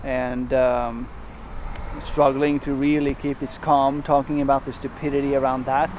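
A man in his forties vlogging casually to a handheld camera outdoors and in a van, relaxed and rambling with many fillers and restarts. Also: medium pitch, unhurried, slight background noise. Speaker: travel vlogger. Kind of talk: casual vlog narration to camera. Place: outdoors in a parking lot and inside a van. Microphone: handheld camera at arm's length.

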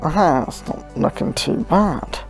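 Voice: medium pitch, animated, takes it slowly, faint music behind, rough recording. A gaming host giving casual, rambling commentary while playing, often murmuring short repeated phrases.

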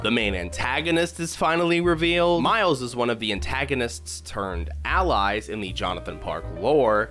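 A man's voice: a little high, a bit bright. A man in his twenties giving a wry, conversational and emphatic review into a studio mic. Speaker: video reviewer. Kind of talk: scripted casual review to camera. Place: small home studio room. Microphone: boom-arm studio mic close by.

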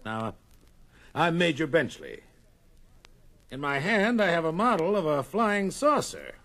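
An actor playing an evasive, placating air force major, speaking in a hesitant official manner in an audio drama. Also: male voice, fairly high pitch, big pitch swings, measured pace, a bit bright, full character voice. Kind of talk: 1970s dramatised story record acting.

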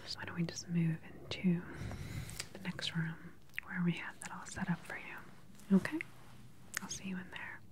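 A woman in her thirties whispers close to the microphone in a gentle, reassuring clinical manner, role-playing a doctor for ASMR. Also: on the low side, flat delivery, normal speed, long pauses. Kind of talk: whispered ASMR medical exam roleplay. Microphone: close microphone, face near the camera.

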